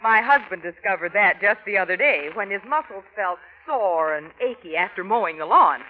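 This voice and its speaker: An actress playing an earnest, contented American housewife in a 1940s radio advertisement. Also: female voice, mid-range voice, lively intonation, moderate pace, slight background noise, natural voice. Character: contented housewife giving an earnest product testimonial. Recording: tinny vintage radio broadcast.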